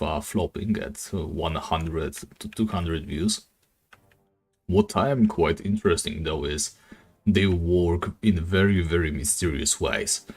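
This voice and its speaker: Male streamer, non-native English speaker, very low raspy voice, easygoing rambling talk, faint music underneath. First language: not English. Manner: relaxed, rambling, many fillers. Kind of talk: casual live-stream chat while gaming.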